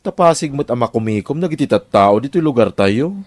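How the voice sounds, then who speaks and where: Man playing older neighbor guy in ilocano drama, coaxing n reassuring, medium pitch, dry close sound. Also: animated, brisk, a little mellow, no room sound, natural voice. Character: persuasive, reassuring older neighbour Mang Diego. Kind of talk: Ilocano audio drama acting.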